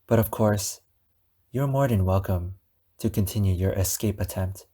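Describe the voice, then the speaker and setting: A man playing an obsessive, wounded, possessive captor in an ASMR roleplay, speaking deliberately with dramatic pauses. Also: low-pitched, mellow, husky. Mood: quiet, menacing. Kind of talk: ASMR roleplay monologue addressed to the listener.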